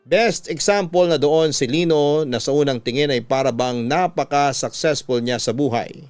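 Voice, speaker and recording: An actor with a Filipino accent narrating a first-person radio story as an earnest, reflective, confessional storyteller. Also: male voice, a little high, darkish tone, clean tone, no echo, soft background music, close to an everyday voice. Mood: reflective, regretful. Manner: measured, sincere, confessional. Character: earnest, reflective male storyteller recalling a troubled former lover.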